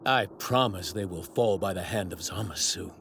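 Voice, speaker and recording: An actor playing an arrogant, righteous god villain in an anime dub, with pompous, theatrical, formal delivery. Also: male voice, low voice, normal speed, fairly bright tone, a little hiss or hum. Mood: smug resolve. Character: arrogant male god villain, righteous zealot who despises mortals. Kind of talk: dubbed anime villain acting. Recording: sound effects bleeding behind voice.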